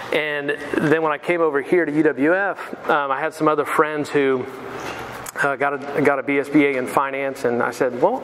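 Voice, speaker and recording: A chatty, self-deprecating man in his thirties, from Pensacola, gives a casual finance seminar. His voice sits a little on the high side, and he keeps asking the room questions. Faint room noise sits behind him. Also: a bit bright, rough recording. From Pensacola, Florida. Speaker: mortgage sales manager giving a seminar. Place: lecture room.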